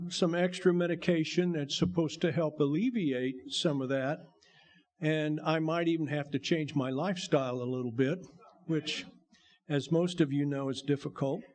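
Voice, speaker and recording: An older man with a gravelly voice, a bishop giving a folksy, joking testimony to his church. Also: fairly high pitch, darkish tone. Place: church sanctuary.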